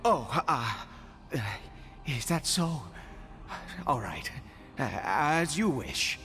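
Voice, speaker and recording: A troubled, earnest father from a fantasy game, with a fairly high voice. He talks slowly and haltingly, full of awe, over music. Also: heavily acted. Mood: hesitant, deferential. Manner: hesitant, halting, expressive. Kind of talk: scripted video game voice acting.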